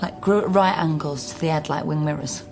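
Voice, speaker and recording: A British genealogist in a radio mystery drama. Her voice sits fairly low, and she talks in a dry, self-mocking, matter-of-fact way. Music plays clearly behind her. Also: fairly quick. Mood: wry, businesslike. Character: wry, solitary female genealogist, brisk and self-mocking. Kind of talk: naturalistic radio drama acting.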